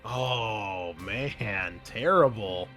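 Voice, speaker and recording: A man with a fairly low voice hosts a tabletop game session. He narrates casually, pausing and restarting as he explains things. Faint music sits behind him. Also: expressive, pushed and bright. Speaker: game master and podcast host.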